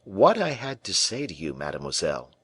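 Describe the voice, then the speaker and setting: A man's voice: low voice, wildly swooping pitch, fairly bright tone, slightly rough, no room sound, full character voice. A man playing a cool, shrewd musketeer captain. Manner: cool, measured and respectful.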